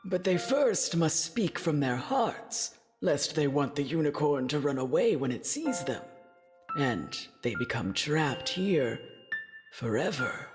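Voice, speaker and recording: A woman voicing a solemn, wise tree spirit in a fantasy audio drama, measured and portentous. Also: deep voice, big pitch swings, heavily acted. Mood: foreboding. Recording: long cavernous reverb. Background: music.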